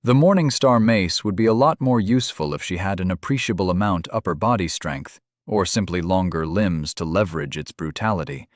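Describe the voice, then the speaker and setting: A man narrating a fantasy audiobook in a measured way, reading out game item descriptions. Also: low-pitched, expressive, no room sound, studio quality.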